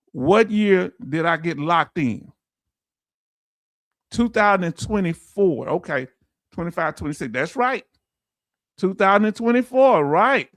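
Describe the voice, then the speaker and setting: High-voiced folksy man in his fifties. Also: fairly bright tone, no echo, clean studio sound. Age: 50s. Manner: quizzes viewers with repeated questions; loose, folksy talk. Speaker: veteran benefits streamer. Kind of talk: livestream lesson answering chat.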